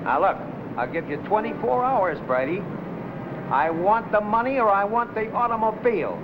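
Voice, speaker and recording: An actor playing a stern older boss in a 1950 film noir, insistent and menacing. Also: male voice, high-pitched, expressive, normal speed. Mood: threatening. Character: stern, scheming older male boss, coolly extorting. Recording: muffled, noisy old film soundtrack.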